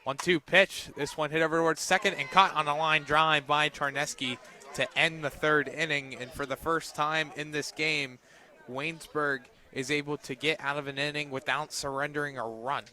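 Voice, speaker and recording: Man, slightly high voice, radio play-by-play commentator, softball, outdoor broadcast. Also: fairly even intonation, moderate pace. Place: outdoors at a ballfield.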